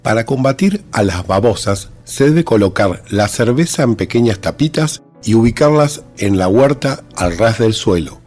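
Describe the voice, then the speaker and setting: A man's voice: fairly low pitch, animated, moderate pace, hardly any pauses, a little mellow, faint music behind. A man giving scripted instructional narration. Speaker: narrator of farming advice.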